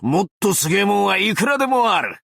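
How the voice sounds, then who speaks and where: Cocky male explosives nut, anime game character, gravelly, wildly animated pitch swings, rough swaggering slang, close dry sound. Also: quick pace, dry recording, full character voice. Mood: smug, businesslike. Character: brash tailed male explosives fanatic, cocky bureau officer. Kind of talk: Japanese anime game voice acting.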